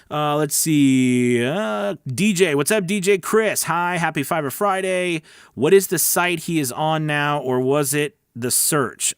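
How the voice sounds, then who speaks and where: A man with a mid-pitched voice, recorded close and dry with hardly any room sound. He hosts a casual training livestream, talking straight to his viewers. His delivery is encouraging and emphatic, with a conversational habit of checking that listeners follow. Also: no echo. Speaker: host of a voice-over training livestream. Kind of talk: casual livestream chat, answering viewer comments.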